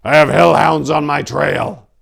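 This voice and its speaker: A grim male warrior monk, voiced by a male narrator in a dark fantasy storybook. He speaks with forceful, venomous defiance, bent on vengeance. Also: a little high, fairly even intonation, a little slow, vocal fry, voice pushed up, exaggerated voice. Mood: defiant, commanding.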